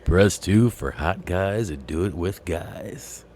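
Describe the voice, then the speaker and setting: A man mimicking an automated phone-line menu voice in a slow, flat announcing tone. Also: low voice, slight background noise. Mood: deadpan.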